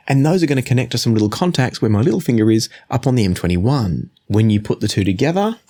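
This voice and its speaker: A man in his forties giving a measured, conversational product review to camera, explaining things as he goes. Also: on the low side, a little mellow. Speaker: audio gear reviewer. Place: small indoor home studio room.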